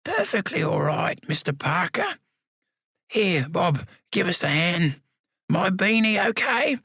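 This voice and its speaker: A toothless old man in his nineties, voiced by a man reading a story, speaks in a shrill, piping voice. He is stubborn and cantankerous, and his pitch jumps high and swings widely. The sound is close and dry. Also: big pitch swings, takes it slowly, pushed and bright, breathy edge, no room sound, heavily acted.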